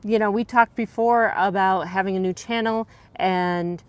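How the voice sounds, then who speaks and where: A woman with a soft voice co-hosting a casual livestream chat, reading viewer comments aloud and thanking them. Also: medium pitch, fairly even intonation, a little slow, clear, resonant tone, no room sound. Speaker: livestream co-host.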